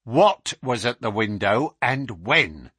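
A man voicing a stern male police inspector who questions in a sharp, clipped way. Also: mid-range voice, very animated, slow pace, breathy edge, no echo, clean studio sound, full character voice.

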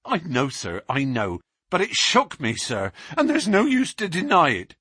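A man voicing a terrified male police constable who speaks breathlessly in a high, rising voice. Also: big pitch swings, measured pace, fairly bright tone, husky, no room sound, studio quality, exaggerated voice. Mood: frightened.

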